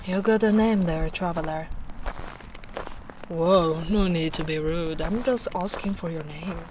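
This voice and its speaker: A big, gentle ogress, played by a young woman in a soft-spoken ASMR roleplay speaks in a low, slightly deep voice. She talks very slowly and soothingly, with a caring, protective warmth. Now and then she drops to breathy, near-whispered asides. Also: noisy background, lightly acted. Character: gentle towering female ogre, caring and protective.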